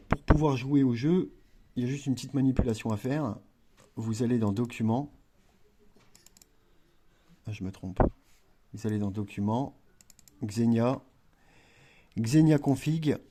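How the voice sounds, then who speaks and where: A man's voice: medium pitch, dark tone. A man chatting casually through a gameplay tutorial, rambling with frequent fillers and self-corrections. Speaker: gaming video creator.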